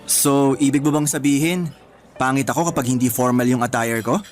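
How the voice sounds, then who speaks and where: A man playing a sincere, gentle young Filipino boyfriend in a radio drama. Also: medium pitch, fairly even intonation, fairly quick, faint music behind, close to an everyday voice. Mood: earnest, wistful.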